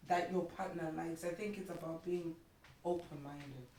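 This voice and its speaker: A somewhat low-voiced woman with a Zimbabwean accent, recorded in an echoey room away from the mic. She talks conversationally as a guest, stressing each point by rephrasing it. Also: steady tone, moderate pace, crowd noise. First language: Shona. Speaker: interview guest. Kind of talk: casual conversation about marriage. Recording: echoey room, distant mic, faint noise.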